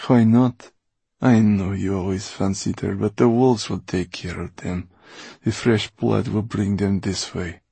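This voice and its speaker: A man's voice: on the low side, steady tone, a little slow, creaky, mellow, breathy edge, dry recording, exaggerated voice. A man voicing a superstitious, mocking henchman in an audiobook, with a taunting way of talking. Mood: goading. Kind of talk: audiobook character acting.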